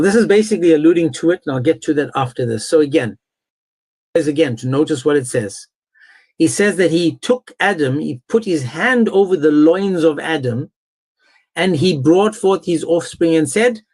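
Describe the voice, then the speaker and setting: A man with a fairly high voice hosts a live online religious debate. He is confrontational and insistent, pressing his points with firm emphasis. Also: darkish tone. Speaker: debate host.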